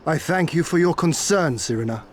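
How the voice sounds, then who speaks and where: A man's voice: fairly high pitch, animated, a little hiss or hum, natural voice. A man playing a resolute young prince. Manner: formal, archaic, measured. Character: noble young male prince, earnest and resolute. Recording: game soundtrack under the voice.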